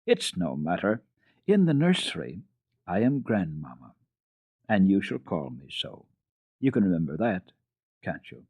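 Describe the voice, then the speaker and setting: A man takes on a kindly old grandmother for a radio storybook. Her lines swoop up and down, warm with amusement, then turn brisk and decisive. The sound is roomy and a little dull, like an old broadcast. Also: sits low, very animated, husky, full character voice.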